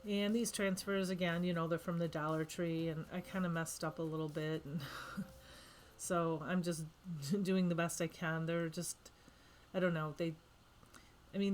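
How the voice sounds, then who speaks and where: A woman's voice: fairly low pitch, slight background noise. Chatty woman with a cold, narrating crafts. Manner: chatty, rambling, self-correcting with frequent ums. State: losing her voice from a cold. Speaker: craft vlogger. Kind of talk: casual voice-over narrating a craft project.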